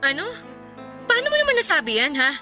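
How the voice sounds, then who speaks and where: A woman's voice: high-pitched, huge pitch swings, fast talker, pushed and bright, faint music behind, exaggerated voice. A woman playing an emotional, defensive young girlfriend in a Filipino radio drama, pleading and exasperated. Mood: defensive, indignant. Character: defensive, distressed young girlfriend confessing compulsive spending.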